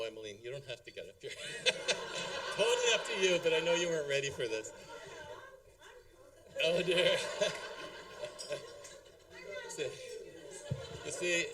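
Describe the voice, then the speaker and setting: A man's voice: medium pitch, distant mic. A middle-aged man giving a rambling, hesitant wedding speech as father of the bride, full of ums and chuckles. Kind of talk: halting, unscripted wedding toast. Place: wedding reception hall.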